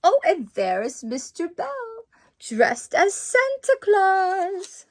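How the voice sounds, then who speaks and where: A woman playing a sweet, excitable Mrs. Claus, reading a children's story aloud with gushing, exclamatory asides. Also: extremely high pitch. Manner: gushing, exclamatory, drawn-out delivery.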